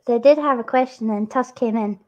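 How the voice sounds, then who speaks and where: A woman's voice: fairly high pitch, brisk. A woman hosting a livestream, chatting casually, giggling and teasing her viewers. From Scotland. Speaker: stream host.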